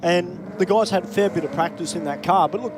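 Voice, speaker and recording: A man's voice: high voice, fairly quick, background noise. A man with an Australian accent calling drag races in live commentary, chatty and upbeat in easy banter.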